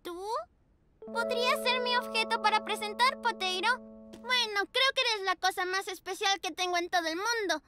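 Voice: extremely high pitch, moderate pace. A performer voicing a sweet little pug girl in a children's cartoon, earnest and childlike. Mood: hesitant, tender. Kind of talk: children's cartoon dub acting. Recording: loud music behind the voice.

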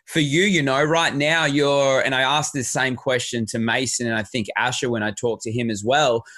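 A man's voice: mid-range voice, steady tone, moderate pace, a bit bright. Chatty male podcast host. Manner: long wandering questions with restarts and "you know". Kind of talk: interview host questions. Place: home music studio. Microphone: studio microphone with headphones.

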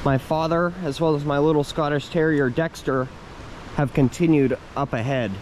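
A man's voice: on the high side, fairly even intonation, normal speed, a little mellow, a little hiss or hum, rough recording. A young man casually narrating his hike through a wooded park, admiring the views and reading a plaque aloud, recorded on a handheld camera outdoors. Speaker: hiking vlogger. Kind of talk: casual hiking vlog narration.

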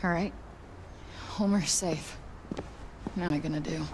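A woman's voice: low-pitched, steady tone, unhurried, background noise. A woman playing a troubled, scrappy young woman in a video game, with a blunt, sarcastic manner. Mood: subdued, resolute. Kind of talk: naturalistic video game cutscene acting. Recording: music behind the voice.

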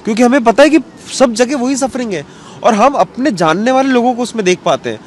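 A man's voice: very high-pitched, lively intonation, fairly quick. A man, giving an earnest, reflective interview answer mixed with English words. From western Uttar Pradesh, India. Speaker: interview guest, cartoonist. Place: outdoor bench in a public square. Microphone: handheld interview mic held toward him. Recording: outdoor street ambience with background noise.

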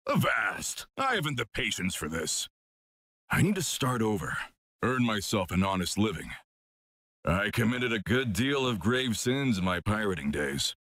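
Hearty, lively pirate sailor. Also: male voice, lowish voice, measured pace, no echo, studio quality, exaggerated voice. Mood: hearty, earnest. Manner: old-time pirate talk.

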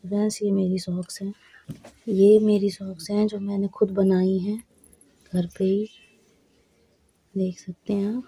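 A woman vlogger who is hoarse from a cold, talking slowly and plainly to camera while trying on socks at home. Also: mid-range voice, level tone, mellow. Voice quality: husky. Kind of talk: casual vlog talk to camera. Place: indoors at home, camera on the floor.

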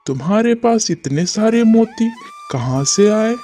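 A man voicing a haughty, suspicious king with a deliberate, imperious manner in a cartoon story. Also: high voice, expressive, warm tone, faint music behind. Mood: astonished.

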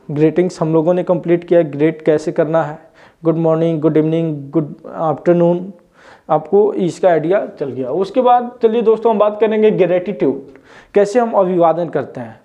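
A man's voice: high voice, narrow, tinny audio. A man, teaching English at a whiteboard, recorded on a lapel mic in an indoor room, often asking viewers questions as he explains. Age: 20s. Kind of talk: whiteboard lesson.

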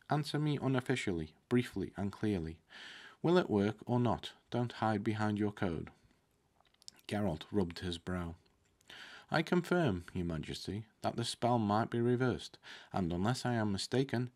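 A man in his thirties reading a fantasy novel aloud, now and then stumbling on a word and correcting himself. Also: fairly low pitch. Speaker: book reader. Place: indoor room with curtains, at a desk. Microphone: desktop microphone close in front.

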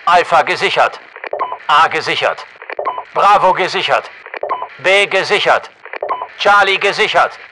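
Intense high-pitched soldier on crackly radio. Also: male voice, a little slow, pauses often. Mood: controlled, terse. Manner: clipped short barked orders and status reports. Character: masked male special forces soldier, intense and commanding. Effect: radio filter. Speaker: military game announcer. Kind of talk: terse military radio voice lines for a shooter game.

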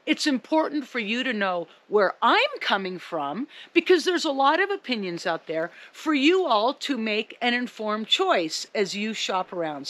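A woman ski coach speaking emphatically to camera outdoors in the snow. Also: mid-range voice. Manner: emphatic, upbeat, loud. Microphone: camera a few meters away.

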